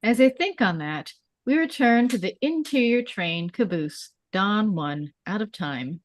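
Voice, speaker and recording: A woman's voice: mid-range voice, lively intonation, unhurried, no room sound. A woman reading stage directions aloud as scripted narration at a script table read.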